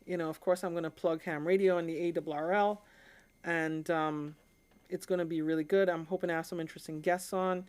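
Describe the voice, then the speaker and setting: A woman's voice: on the low side, steady tone, fairly quick, darkish tone, clear, resonant tone. A woman hosting a livestream in a casual, informal, conversational way, often checking in with the listener. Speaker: live stream host, amateur radio hobbyist.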